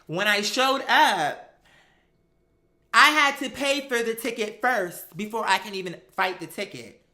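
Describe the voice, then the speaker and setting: This is a bright, forceful voice with an American accent, belonging to someone hosting a live stream. The delivery is casual, sassy and emphatic storytelling, full of slang and acted-out exchanges. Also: mid-range voice, animated, a little slow.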